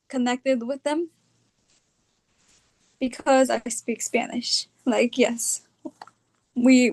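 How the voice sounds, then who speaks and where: A young woman from California with a California accent, talking about her own experience in a reflective, hesitant way, often pausing on fillers. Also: on the high side, a little mellow. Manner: hesitant, thinking aloud with fillers. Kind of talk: reflective personal talk.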